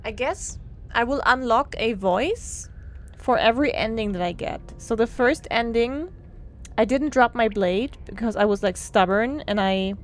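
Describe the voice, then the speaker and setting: Slow, wry young woman streamer. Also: on the high side. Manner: giggly, wry, thinking aloud. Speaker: streamer playing a game. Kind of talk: casual live gameplay commentary.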